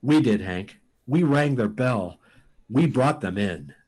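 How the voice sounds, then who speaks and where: An actor playing a desperate, insistent rocket pilot in an old-time radio science fiction drama, speaking in halting, urgent bursts. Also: male voice, medium pitch, lively intonation, a little mellow, slightly rough.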